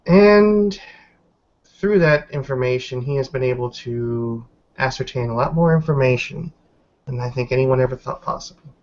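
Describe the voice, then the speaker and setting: A man's voice: mid-range voice, moderate pace, a little mellow, natural voice. A man roleplaying a guarded female werewolf newcomer in a tabletop game, choosing formal words with careful hesitations. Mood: guarded, evasive. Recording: dull lo-fi voice recording.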